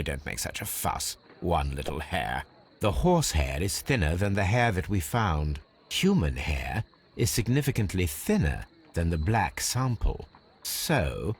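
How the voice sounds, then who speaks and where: Cool victorian english detective for a game, low husky voice, very precise and analytical. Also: male voice, heavily acted. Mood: dry, matter-of-fact. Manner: precise, measured, formal. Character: brilliant, unflappable Victorian male detective, coolly analytical. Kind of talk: scripted game dialogue and deductive narration.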